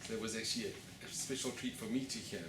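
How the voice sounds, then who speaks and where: A man's voice: fairly low pitch, fairly even intonation, quick pace. A man introduces the evening's speaker, stopping often on "uh" and "um". He sounds far from the mic in an echoey hall, with noise behind him. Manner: hesitant, many fillers. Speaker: host. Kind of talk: event introduction. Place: lecture hall with live audience. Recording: distant, echoey and noisy.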